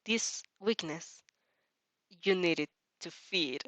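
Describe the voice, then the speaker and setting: A woman's voice: on the low side, drawn-out words, fairly bright tone, no room sound. A woman reading a story aloud expressively, giggling and stumbling over words as she casually reacts. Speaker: reader reacting to fan fiction.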